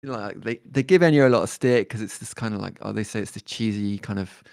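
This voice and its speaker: A man, talking as a guest musician in a relaxed interview, thoughtful and hesitant with many fillers and restarts. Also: lowish voice, fast talker, creaky, no room sound, studio quality. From born in Detroit, raised in London.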